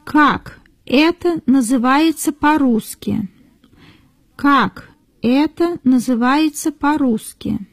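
A woman reading model sentences for learners in a careful, deliberate way, repeating each phrase. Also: sits high, no echo, studio quality. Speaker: native-speaker model reader.

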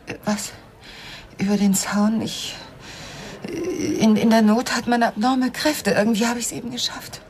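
An actress playing a cool, evasive widow with a guilty secret in a radio crime drama, controlled and often hushed. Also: female voice, on the high side, steady tone, normal speed, slight background noise, natural voice. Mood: flustered. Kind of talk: 1990s German radio crime drama acting.

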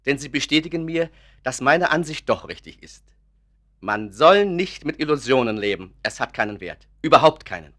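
Earnest sceptical doctor, radio play, insistent, reasoning, lively varied pitch. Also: male voice, moderate pace. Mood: earnest, emphatic. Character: earnest, principled male doctor, sceptical of illusions. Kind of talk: German radio play acting.

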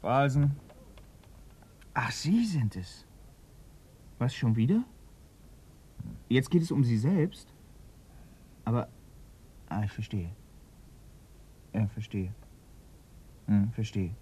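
An actor playing a polite, hesitant, earnest police detective's assistant in a German radio drama, often repeating himself. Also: male voice, medium pitch, sing-song, takes it slowly, long pauses, dark tone, a little hiss or hum. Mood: flustered.

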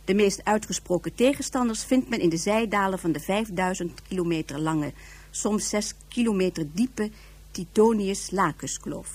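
A woman's voice: mid-range voice, normal speed, a little mellow, lightly acted. A woman performing as the narrator of a science fiction radio serial, reading in a scripted, informative documentary style.

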